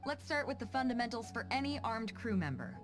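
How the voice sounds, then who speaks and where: A woman's voice: on the high side, sing-song, brisk, music in the background. A young woman playing a bold, teasing, good-hearted female sea captain in a video game, speaking with confident, playful command. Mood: confident, instructive.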